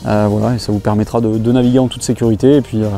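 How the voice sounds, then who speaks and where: Male tutorial presenter, very mellow dark tone, brisk pace, informal unscripted demo delivery. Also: on the low side, flat delivery, faint music behind. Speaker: presenter of boating equipment tutorials. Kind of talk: informal product demonstration, explaining step by step.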